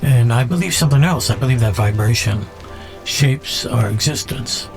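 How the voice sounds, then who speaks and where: A man hosting a podcast in a reflective, unscripted monologue, speaking haltingly and musingly and repeating words for emphasis. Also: mid-range voice, music in the background. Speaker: podcast host.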